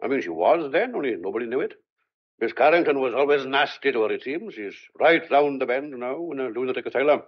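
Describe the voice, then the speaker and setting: An actor playing a gruff but kindly, exasperated police sergeant in a thriller audio drama, with a blunt, coaxing, colloquial way of talking. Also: male voice, medium pitch, animated, fast talker, highs cut off. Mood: gossipy, explanatory. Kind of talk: old-fashioned thriller audio drama acting.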